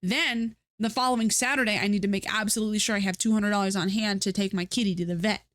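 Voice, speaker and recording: A woman's voice: mid-range voice, steady tone, fast, a bit bright, no echo, studio quality. Rambling, stuffy-nosed woman streamer. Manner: rambling, self-mocking, many fillers and restarts. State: stuffy nose. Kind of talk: casual live-stream chat.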